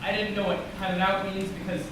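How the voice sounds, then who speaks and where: Actor as high-voiced earnest young man, echoey stage. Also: flat delivery, fast talker, recorded from a distance, natural voice. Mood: anxious, apologetic. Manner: literal, over-explaining, earnest. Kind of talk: live stage play acting. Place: theatre stage, recorded from a distance. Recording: very echoey noisy stage recording from afar.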